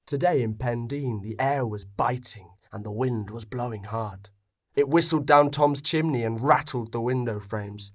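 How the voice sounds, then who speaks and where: A man narrating a scripted story expressively, with dramatic pauses. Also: medium pitch.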